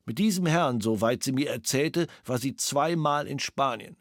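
A man's voice: mid-range voice, moderate pace, no echo, studio quality, natural voice. An actor reading the statement of a male witness in a formal, matter-of-fact way.

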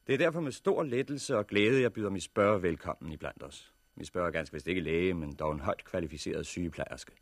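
An actor playing a composed chairman of a survivors' meeting in a 1960s radio drama, speaking formally, courteously and in measured phrases. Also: sits low, lively intonation, dry recording. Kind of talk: 1960s radio drama acting, public address.

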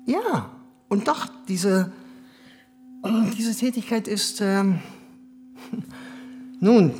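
Cynical privy councillor, condescending, pitch swooping up and down. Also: male voice, expressive, drawn-out words, darkish tone, exaggerated voice. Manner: smooth, condescending, hesitant fillers. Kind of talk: radio play acting.

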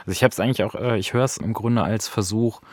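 A man's voice: fairly low pitch, steady tone, fast talker. A man hosting a conversational radio discussion of literature, reflective and tentative, rephrasing as he goes. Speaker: radio podcast host.

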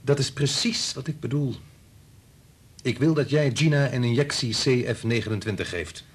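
An actor playing a cynical, pushy husband and scientist in a radio play, sardonic and dismissive. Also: male voice, medium pitch, normal speed, breathy edge, lightly acted. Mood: pushy, persuasive. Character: cynical, pushy biologist husband and father, belittling. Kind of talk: Dutch radio play acting.

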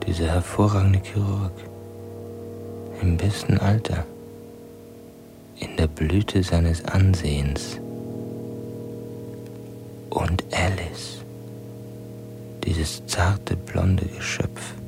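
An actor playing a troubled, wondering professor in a 1970s radio play, musing in a halting murmur. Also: male voice, low voice, steady tone, gravelly, music in the background, heavily acted, rough recording. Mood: brooding.

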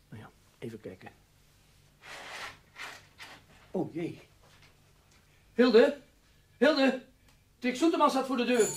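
An actor playing an anxious, gloomy middle-aged husband in a radio play, speaking in a fretful, wordy, hesitant way. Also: male voice, extremely high pitch, slight background noise, full character voice. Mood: flustered. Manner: fretful, stammering, wordy and formal. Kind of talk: Dutch radio drama acting.

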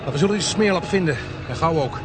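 Grim, commanding police inspector played by a man, higher-pitched voice. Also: animated, natural voice. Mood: determined. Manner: authoritative, clipped orders with hesitant pauses. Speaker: actor in a radio drama. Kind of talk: radio drama acting. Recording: noisy, street and traffic ambience.

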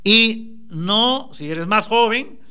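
A man's voice: high-pitched, animated, extremely slow, sharp tone, smooth. Emphatic motivational speaker, man. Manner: emphatic, exhorting the listener directly. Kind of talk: motivational talk.

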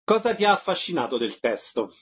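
Male radio host, kinda high husky voice, very up and down, dull audio. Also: very animated, brisk, a bit bright. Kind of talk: radio presenting, short questions.

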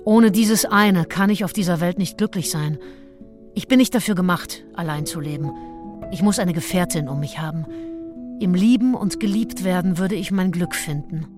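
An actress playing a passionate, lovelorn early nineteenth-century gentlewoman diarist in a radio play, speaking as if in intimate confession. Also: on the low side, normal speed, music in the background, natural voice. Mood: yearning, insistent. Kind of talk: German radio play monologue.

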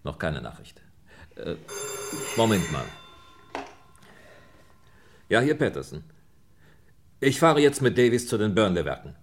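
Brisk, insistent police inspector. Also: male voice, lowish voice, sing-song, slight background noise. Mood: businesslike. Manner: businesslike, insistent questioning. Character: brisk, persistent police inspector questioning suspects. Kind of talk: German radio crime play acting.

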